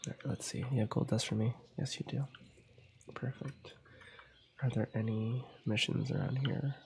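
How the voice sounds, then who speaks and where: A young man who is fighting a cold murmurs his way through a gameplay session in a hushed ASMR voice, drifting into whispers. His voice is thick with gravelly fry and has a dark, mellow tone. A faint noise floor sits behind him. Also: mid-range voice, fairly even intonation, normal speed. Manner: quiet, slipping into whispers. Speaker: ASMR gaming channel host. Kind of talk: soft-spoken ASMR gameplay commentary.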